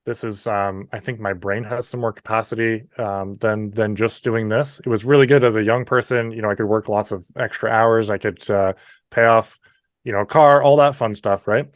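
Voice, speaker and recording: A man's voice: fairly low pitch, steady tone, normal speed, dry recording. A man in his thirties from New Brunswick with a Canadian accent, telling his story as an interview guest in a friendly, chatty way. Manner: friendly, chatty, frequent "you know" asides.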